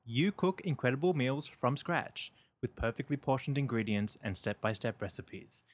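A man's voice: medium pitch, lively intonation, normal speed. A man from Sydney with a light Australian accent, co-hosting a podcast in teasing casual chat. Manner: teasing, insistent. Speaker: podcast co-host.

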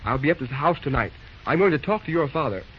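An actor playing a brisk, plainspoken young acting railroad superintendent in a 1930s radio drama. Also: male voice, a little high, animated, close to an everyday voice. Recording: dull old radio recording with faint hiss.

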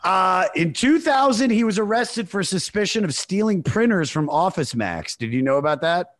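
A man's voice: high voice, lively intonation, normal speed, a bit bright, no room sound, clean studio sound. A man hosting a podcast in casual chat, reading trivia and making crude jokes, saying "dude" a lot. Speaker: podcast host.

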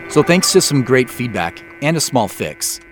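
A man's voice: mid-range voice, big pitch swings, normal speed, music in the background. A man giving scripted behind-the-scenes commentary as the creator of an audio drama.

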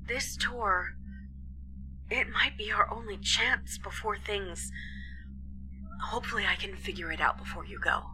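A woman playing an anxious, cautious character in an audio drama, hushed and hesitant, with ambient noise behind her. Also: mid-range voice, unhurried, long pauses, lightly acted. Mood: worried, guarded. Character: anxious, cautious woman confiding a secret worry. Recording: noisy background ambience.

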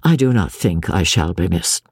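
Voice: low voice, animated, moderate pace, husky, no echo, clean studio sound, pitched below the performer's own voice, heavily acted. A reader voicing a cold, aloof aristocratic husband who speaks in a formal, drawling manner. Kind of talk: audiobook character voice.

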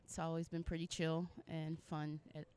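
A woman's voice: sits low, flat delivery, moderate pace, a little mellow, clean tone. An American woman from Los Angeles answering interview questions as a musician in a concise, easygoing way. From Los Angeles, United States. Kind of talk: casual interview chat.

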